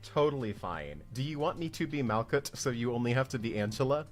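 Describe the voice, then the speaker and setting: Male read-along co-host, casual and very animated in pitch, music underneath. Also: brisk. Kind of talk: casual chat between script readings.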